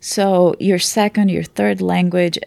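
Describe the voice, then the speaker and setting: A woman's voice: on the low side, fairly even intonation, takes it slowly, vocal fry, dark tone, no echo. A woman whose first language is Portuguese, a teacher explaining language learning in a conversation, often checking in with "right?". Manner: explanatory, frequent "right?" tags. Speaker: teacher, guest. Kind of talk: conversational interview.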